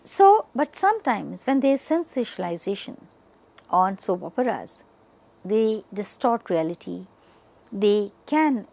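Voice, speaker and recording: A woman giving an educational lecture, partly reading from notes, speaking deliberately in short, emphatic chunks. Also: medium pitch, very animated, gravelly, a little mellow.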